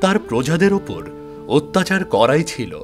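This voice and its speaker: A man tells a scripted fairy tale as narrator. His voice sits a little high for a man, and music plays behind it. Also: very animated, normal speed.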